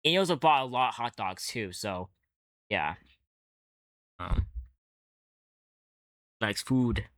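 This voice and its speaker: Bright-voiced, animated man reacting casually. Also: lowish voice, slow pace, no room sound, clean studio sound. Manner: profane, exclamatory. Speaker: video host and fan dubber.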